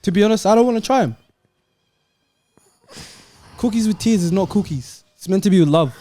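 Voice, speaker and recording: A man's voice: high voice, animated, a little fast, dark tone. A man on a podcast panel, trading slangy football banter in a blunt, teasing manner.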